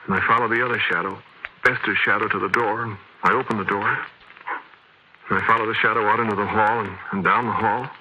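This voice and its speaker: An actor playing a sleepy, haunted man who tells his eerie tale haltingly in an old radio drama. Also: fairly low pitch, steady tone. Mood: eerie resignation. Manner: hushed, halting, dreamlike storytelling. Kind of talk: 1940s radio drama first-person narration. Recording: noisy vintage radio recording.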